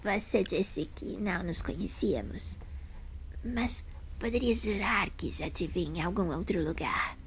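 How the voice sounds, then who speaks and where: A woman playing a gentle elderly lady with muddled memories in an audio story, speaking slowly and hesitantly in a soft voice. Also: mid-range voice, a little hiss or hum, lightly acted. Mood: gentle, curious. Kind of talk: audio drama fan story.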